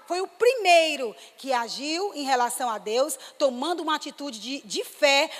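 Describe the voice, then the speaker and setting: A woman from Fortaleza, preaching a sermon in a church and building to emphatic, excited exclamations. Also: high-pitched. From Brazil, Fortaleza. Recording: reverberant church hall.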